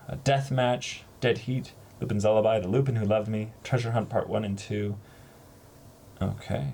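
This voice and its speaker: A man chatting casually as co-host of an unboxing, excitable and jokey, swearing freely. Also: lowish voice. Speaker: YouTube channel co-host. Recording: noticeable background noise.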